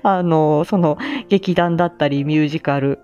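A woman's voice: low-pitched, moderate pace, dark tone. A woman hosting a casual live audio stream, chatting and reminiscing in a self-deprecating way with frequent laughter. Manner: self-deprecating, laughs often while talking. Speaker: amateur voice drama actor. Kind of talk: casual live chat, reminiscing and answering listeners. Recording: muffled lo-fi stream with background music. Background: background music.

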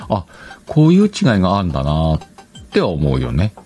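A man's voice: deep voice, huge pitch swings, unhurried, warm tone, soft background music. A man hosting a solo podcast in a casual chat, playfully talking to himself and correcting himself as he goes. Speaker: podcast host. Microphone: external microphone, recorded on a computer.

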